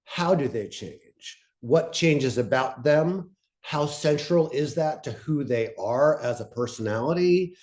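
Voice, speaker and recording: Mellow-voiced man talking casually. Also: a little high, expressive, takes it slowly, few pauses. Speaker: panelist presenting on fiction podcast pitching. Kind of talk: casual unscripted workshop talk.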